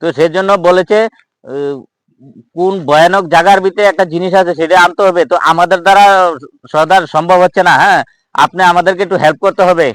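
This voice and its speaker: Man playing an earnest villager, slightly high voice. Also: fairly even intonation, fast talker, fairly bright tone, no room sound, low-quality recording. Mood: respectful, pleading. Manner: repetitive, constantly seeking agreement. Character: earnest villager guide organizing a jinn hunt, reassuring. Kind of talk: improvised amateur comedy skit acting.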